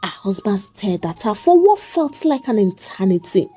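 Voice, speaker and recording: A woman's voice: medium pitch, very animated, moderate pace, dark tone, husky, faint music behind. A woman narrating a scripted dramatic tale as a storyteller.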